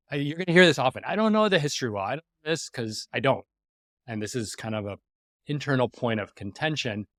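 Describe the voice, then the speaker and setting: Animated man in his forties, dry sound. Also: on the low side, no echo. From New York City, USA. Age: 40s. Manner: animated, self-interrupting, with frequent restarts and sudden emphatic jumps. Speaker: podcast host. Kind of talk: personal reflective monologue.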